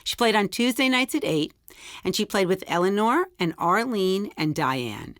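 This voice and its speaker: A woman's voice: mid-range voice, sing-song, moderate pace, a bit bright, no room sound, studio quality. A woman performer telling a scripted theatrical story. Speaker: monologue performer.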